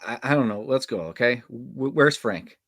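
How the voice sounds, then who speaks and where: A man's voice: medium pitch, normal speed, fairly bright tone, dry recording, clean studio sound, lightly acted. A man playing an uneasy, insistent young camp counselor in a horror script table read, with casual, hesitant delivery.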